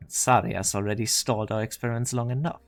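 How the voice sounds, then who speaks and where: A man's voice: on the low side, steady tone, fast, gravelly, soft background music. Man as research director, cold, impatient, commanding, condescending, scripted game dub.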